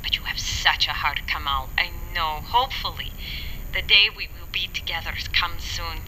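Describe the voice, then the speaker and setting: A woman's voice: fairly low pitch, moderate pace, bright, forceful tone, slight background noise, poor audio. A woman playing a doting, gossipy mother who chats and nags affectionately in a radio drama, heard as a voice message through a small speaker. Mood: tender. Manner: affectionate, nagging, chatty. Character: doting, fussy, gossipy mother of a grown son. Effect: played as a voice message over a small speaker.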